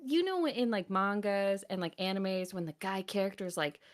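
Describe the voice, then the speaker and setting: A woman with a medium-pitched voice talks informally as a guest. She comes across as cheerful and pokes fun at herself as she explains things. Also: moderate pace. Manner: cheerful, self-deprecating. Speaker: voice actor, guest. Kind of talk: casual chat.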